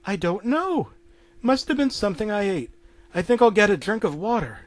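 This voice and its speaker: A man voicing a gentle, soft-spoken old woman, a cartoon homemaker. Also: sits high, big pitch swings, moderate pace, no echo, pitched above the performer's own voice. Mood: unwell.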